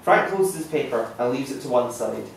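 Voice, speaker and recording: A male narrator reads screenplay directions with a lively, varied pitch. The sound is very echoey and distant, with faint noise behind it. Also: normal speed, creaky. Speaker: narrator reading stage directions. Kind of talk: scripted narration at a table read.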